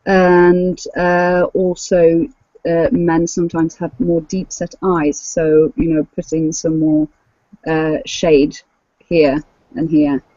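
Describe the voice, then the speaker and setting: Woman cosplayer explaining tips, warm low-key tone, kinda muffled dry audio. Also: no room sound. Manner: explanatory, giving practical tips. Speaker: cosplayer and podcast co-host. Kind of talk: casual podcast chat.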